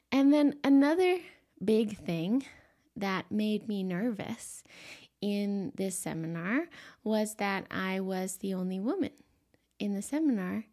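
A woman hosting her own podcast in a soft, quiet voice, a little stuffy and sniffly from allergies, talking gently with frequent ums and restarts. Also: medium pitch, vocal fry. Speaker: podcast host and life coach. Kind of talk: solo podcast monologue.

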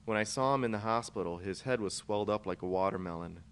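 A man narrates a first-person short story as its author, in scripted prose. His pitch is a little low for a man, and there is some faint noise behind him. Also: fast.

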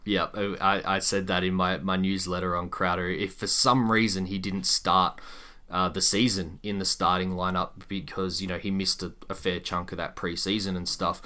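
A man's voice: low-pitched, flat delivery, normal speed, pushed and bright. A man hosting a sports podcast, discussing basketball in a casual, conversational way.

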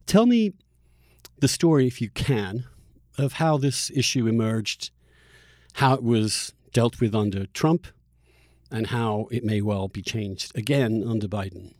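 A man's voice: on the low side, animated, measured pace, darkish tone, no echo, clean studio sound. A man hosting a conversational podcast interview, asking long questions that he rephrases as he goes.

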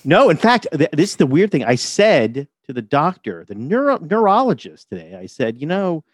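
A man's voice: on the high side, sing-song, moderate pace, no echo, clean studio sound. A man guest on a podcast, chatting casually and self-deprecatingly with many fillers while a little dizzy from illness. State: slightly dizzy, recovering from illness. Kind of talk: casual interview chat.

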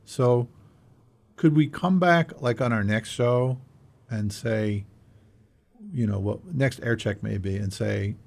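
A man's voice: mid-range voice, slow pace, vocal fry, pauses often, a little mellow. A man hosting a podcast, chatting casually with his co-hosts. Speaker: podcast host.

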